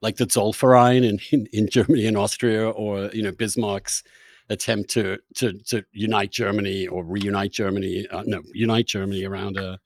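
Guy hosting a podcast, lowish voice, dry close sound, keeps rewording his questions. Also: flat delivery, no room sound. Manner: halting, rephrases questions repeatedly. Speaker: interviewer, podcast host. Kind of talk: conversational, probing interview.

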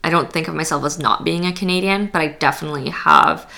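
A young woman from Poland speaks as a podcast guest. Her voice sits very low, with a gravelly, bright edge. She talks in a loose, chatty way, full of fillers and restarts. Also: fast. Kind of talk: casual interview chat.

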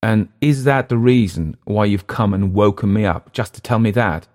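A man's voice: fairly low pitch, normal speed, a little mellow, voice pushed up, close to an everyday voice. A man voicing a weary, irritable older man who speaks curtly and bluntly. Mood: gruff. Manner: curt, blunt.

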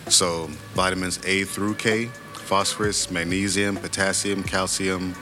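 Very deep-voiced, confident man over music. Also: little pitch movement, unhurried. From Florida, United States. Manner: confident, boastful, plainspoken. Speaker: guest, sea moss vendor. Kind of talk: casual sales pitch and chat. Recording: music playing behind the voice.

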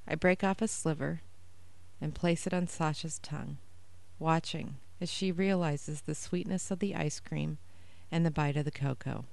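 A woman reading her own first-person short story aloud in a measured, even way. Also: deep voice, creaky, no room sound.

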